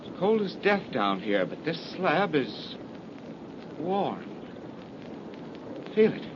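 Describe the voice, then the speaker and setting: A man's voice: on the high side, big pitch swings, takes it slowly. An actor playing a headstrong, skeptical man in a 1930s style radio horror drama, with a brusque, commanding manner. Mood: hushed, uneasy. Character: headstrong skeptical man, brother exploring a haunted inherited mansion. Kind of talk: 1930s old time radio horror acting. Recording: muffled, very noisy vintage radio recording.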